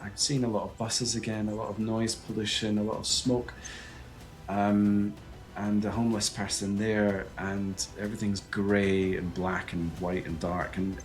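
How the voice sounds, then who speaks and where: A man's voice: fairly low pitch, flat delivery, measured pace, faint music behind, low-quality recording. A man with a Scottish accent, a psychic medium guest speaking earnestly and reflectively on a video call. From Scotland. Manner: earnest, reflective, searching for words. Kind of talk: conversational interview over video call.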